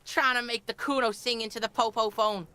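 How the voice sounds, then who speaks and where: A woman's voice: on the high side, steady tone, fairly quick, bright, forceful tone, smooth. A woman voicing a cocky, foul-mouthed street boy in a video game, sneering and swaggering with hostile defiance. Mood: defiant. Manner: swaggering, sneering, speaks of self in third person. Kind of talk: video game character acting.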